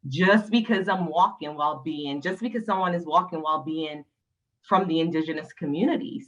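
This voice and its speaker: A woman's voice: sits low, fairly bright tone, poor audio. A woman from Barbados speaking as a panelist in a video-call discussion, emphatic and passionate, often ending points with a tag question. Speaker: panelist, nonprofit leader.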